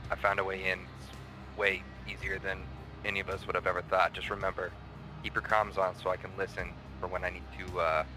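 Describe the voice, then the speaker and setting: A man plays a stealthy rebel infiltrator in a tabletop game. The voice is low and even, confident and conspiratorial, with music clearly playing behind it. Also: a little fast, lightly acted, poor audio. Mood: sly, confident. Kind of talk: improvised tabletop role-play.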